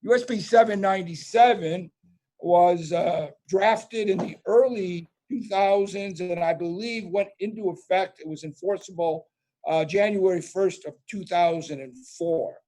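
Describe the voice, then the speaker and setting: A man with a fairly high voice that still sounds mellow and soft-edged. He explains things step by step to an online audience, with plenty of "uh" and "you know" as he goes. It sounds like he is speaking over a video call. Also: measured pace, poor audio. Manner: explanatory, many fillers and restarts. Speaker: presenter. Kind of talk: webinar presentation.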